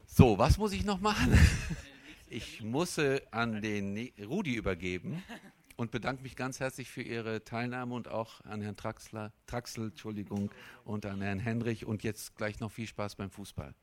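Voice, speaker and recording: A man moderating a panel event, brisk and chatty, stumbling now and then over words. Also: fairly low pitch.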